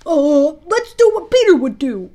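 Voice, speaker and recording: A woman voicing excitable, simple young boys from a children's adventure storybook, exclaiming breathlessly. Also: high-pitched, measured pace, pitched above the performer's own voice. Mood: eager.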